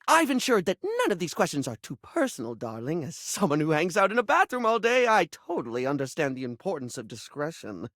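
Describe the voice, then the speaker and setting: A man's voice: high-pitched, sharp tone, no room sound, clean studio sound, heavily acted. A male actor voicing a flamboyant, giddy, chatty bathroom beauty character in a video game, theatrical and gushing, with campy pet names. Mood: chatty, showy.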